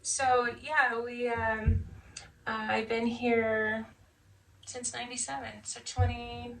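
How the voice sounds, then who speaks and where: A woman in her fifties with an American accent, chatting casually and haltingly as she tells her own story in an interview. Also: on the high side, flat delivery, creaky, a bit bright. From United States, Nevada and Michigan. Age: 50s. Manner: chatty, often chuckling, halting. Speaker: interviewee, military veteran. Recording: distant mic, faint background noise.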